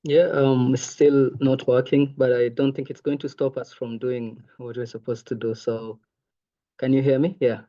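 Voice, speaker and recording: A man's voice: medium pitch, little pitch movement, normal speed, warm tone. A young man from Kenya whose first language is Maasai, giving an earnest online presentation over a video call with many ums. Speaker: intern presenter. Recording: muffled video call audio.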